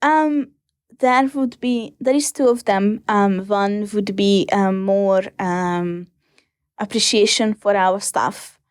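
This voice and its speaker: A young woman with a Hungarian accent, answering interview questions in a hesitant, casual way with many pauses and fillers. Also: mid-range voice, steady tone, clean tone, no room sound, studio quality. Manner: hesitant, many ums and restarts. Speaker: interview guest.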